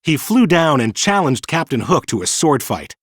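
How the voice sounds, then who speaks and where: A man reading a children's storybook in an expressive, clearly paced storytelling manner. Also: a little high, normal speed, no echo, clean studio sound. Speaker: narrator. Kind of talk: scripted children's storybook narration.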